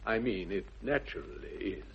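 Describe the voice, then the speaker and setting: An actor playing an earnest, excitable upper-class English gentleman in a radio comedy, theatrical and formal in his phrasing. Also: deep voice, moderate pace, creaky, husky, exaggerated voice. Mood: feverish excitement. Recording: dull old radio recording.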